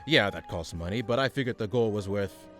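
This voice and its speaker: A man's voice: lowish voice, fairly quick, over music, natural voice. A man playing an exasperated, condescending supervillain mastermind in a comic radio play, pompous in his delivery. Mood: weary scheming.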